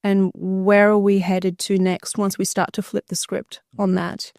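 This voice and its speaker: A woman's voice: mid-range voice, level tone, a little slow, a little mellow, dry recording, clean studio sound. A woman, an articulate podcast guest telling a personal story conversationally and fluently. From Australia, born in Bulgaria.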